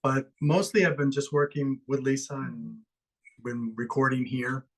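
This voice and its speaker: A man's voice: medium pitch, steady tone, a little slow, rough recording. A man from Ecuador, a musician guest, talking earnestly and gratefully in a rambling way. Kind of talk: casual interview chat.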